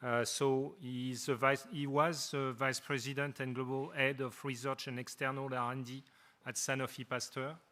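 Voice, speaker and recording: A man hosting an award ceremony, making live introductions in a halting way with many hesitations. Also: mid-range voice, little pitch movement, bright, forceful tone. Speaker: ceremony host.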